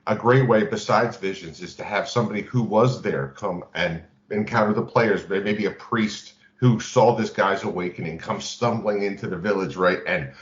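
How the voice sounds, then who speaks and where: A man's voice: fairly low pitch, normal speed, hardly any pauses. A man co-hosting a podcast, casually discussing tabletop games as a game master and often checking in with "right?". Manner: peppers talk with "right?" check-ins. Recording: dull lo-fi, roomy echo.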